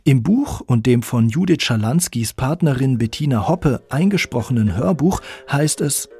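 A man presenting a radio feature in scripted narration, with a gravelly, husky voice. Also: medium pitch, lively intonation, moderate pace, mellow.

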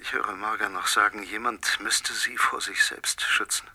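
An actor in a German radio play playing a brooding, coldly obsessive middle-aged husband who speaks with deliberate, quiet menace. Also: male voice, fairly low pitch, fairly even intonation. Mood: brooding, menacing. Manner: deliberate, insistent, drops into whispers.

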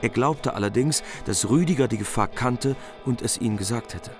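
A man narrating a medieval epic in calm, measured prose for a radio play. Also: mid-range voice. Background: music.

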